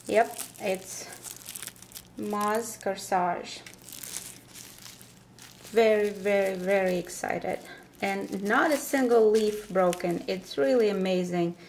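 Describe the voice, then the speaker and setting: Female vlogger for casual unboxing narration: unhurried, hesitant phrasing, faint background noise with crinkling paper. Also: medium pitch. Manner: halting, pausing between short phrases. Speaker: vlogger presenting her plant unboxing. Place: indoors at a table. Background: crumpling paper packaging.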